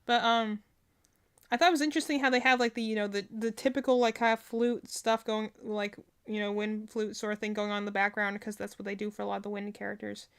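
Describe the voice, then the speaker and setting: A woman's voice: medium pitch, little pitch movement, fast talker, clean tone. A woman streamer giving casual, rambling reaction commentary on video game trailers, thinking aloud as she goes.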